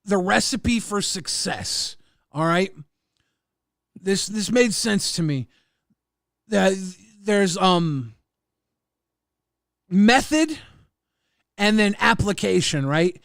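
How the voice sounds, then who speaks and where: A man hosting a casual livestream podcast, rambling and halting, swearing and reading out chat messages. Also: high voice, expressive, gravelly, fairly bright tone, no room sound, studio quality.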